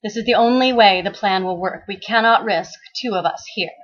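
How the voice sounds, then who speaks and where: A woman's voice: mid-range voice, fairly quick, dull audio, lightly acted. A woman voicing an earnest, urgent male detective in a story reading, insistent and pleading. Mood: firm, urgent. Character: earnest, urgent male private detective in old New York.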